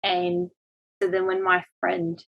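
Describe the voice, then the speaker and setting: A woman's voice: on the low side, monotone, takes it slowly, creaky, highs cut off. A woman with an Australian accent, a guest on a podcast, telling personal stories in an earnest, open way. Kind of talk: casual chat.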